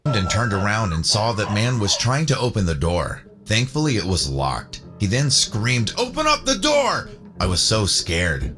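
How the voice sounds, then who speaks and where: A man's voice: deep voice, fairly bright tone. A man narrating a scripted first-person story. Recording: music bed under the voice. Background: background music.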